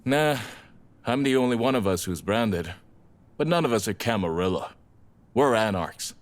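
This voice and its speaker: A man's voice: lowish voice, expressive, moderate pace, lightly acted. A man playing a laid-back, blunt rebel vampire in an audio drama, talking in casual slang. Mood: breezy.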